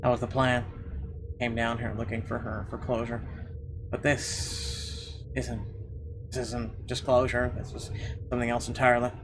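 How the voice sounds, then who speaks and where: A man's voice: mid-range voice, completely flat delivery, normal speed, pauses often, background noise. A man hosting a gaming video, reading visual novel narration aloud and adding his own comments. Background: game music.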